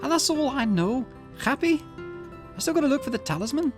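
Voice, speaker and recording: A man's voice: very high-pitched, animated, fairly quick, music in the background, pitched above the performer's own voice. A man voicing a brash, curt teenage girl in a visual novel game. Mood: irritated. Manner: curt, tough, grudging.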